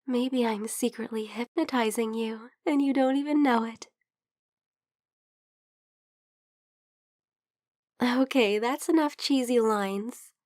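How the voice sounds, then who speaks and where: A woman playing a caring young female vampire who is a little sick, in an intimate, gentle, hesitant ASMR roleplay that slips into whispers. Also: on the high side, normal speed, no echo, studio quality, lightly acted. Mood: teasing, playful. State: slightly under the weather.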